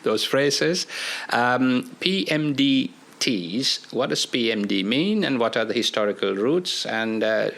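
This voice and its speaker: A man's voice: medium pitch, expressive, slow, creaky, fairly bright tone. A man hosting an interview, prompting his guest and often finishing the guest's phrases. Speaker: interviewer, host. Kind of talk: casual interview conversation.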